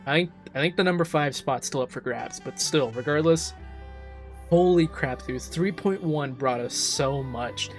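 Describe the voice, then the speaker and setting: Enthusiastic, higher-pitched man doing gaming commentary. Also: moderate pace. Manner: enthusiastic, emphatic, informal. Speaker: game reaction streamer. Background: faint game music.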